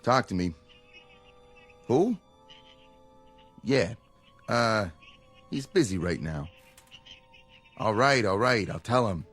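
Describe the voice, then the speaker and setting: An actor playing a gloating, obedient mob henchman with a husky voice and blunt, slangy tough-guy talk. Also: fairly low pitch, big pitch swings, slow, long pauses, a bit bright, soft background music, exaggerated voice. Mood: blunt, mocking. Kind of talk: old-time radio crime drama acting.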